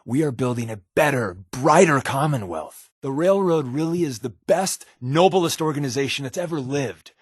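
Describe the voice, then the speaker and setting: An actor playing a wry, secretive spy in a video game, with a glib, offhand, conversational delivery. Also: male voice, medium pitch, animated, normal speed, few pauses, slightly rough, dry recording, studio quality. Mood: earnest, confiding. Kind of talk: video game companion dialogue.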